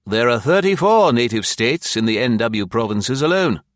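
A man's voice: medium pitch, animated, moderate pace, no room sound, studio quality, pitched above the performer's own voice, natural voice. A man voicing a cool, wry British colonial commissioner in an audiobook. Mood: frank, urgent.

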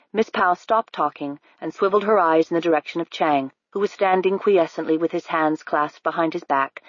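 Woman narrating an audiobook, low and dry. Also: no echo, dull audio. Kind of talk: scripted narration.

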